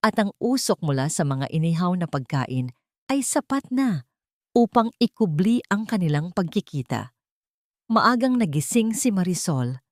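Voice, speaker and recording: A woman narrates a dramatic scripted story. Her voice sits fairly low, and the sound is dry with little room in it. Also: darkish tone, no room sound.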